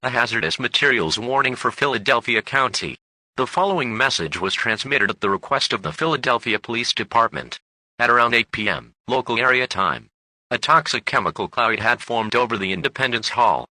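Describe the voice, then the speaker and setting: Male emergency alert announcer, synthetic delivery, forceful bright tone, bone-dry sound, formal script. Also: mid-range voice, expressive, brisk, dry recording, poor audio.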